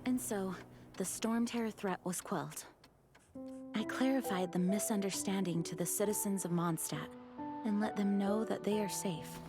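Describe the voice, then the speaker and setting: A woman voicing a dutiful female knight leader, narrating a game cutscene in a measured, formal way. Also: mid-range voice, music in the background, close to an everyday voice. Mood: composed.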